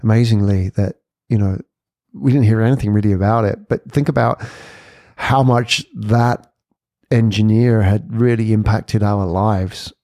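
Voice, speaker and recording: A man's voice: fairly low pitch, fairly even intonation, unhurried, darkish tone, no room sound, studio quality. A man in his forties talking in a casual, conversational podcast monologue, reflective and fond of rhetorical questions and tags. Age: 40s. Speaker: podcast host.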